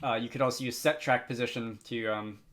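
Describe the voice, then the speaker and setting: A man narrating an unscripted software tutorial, thinking aloud with frequent hesitant pauses. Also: medium pitch, fairly even intonation. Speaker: tutorial host.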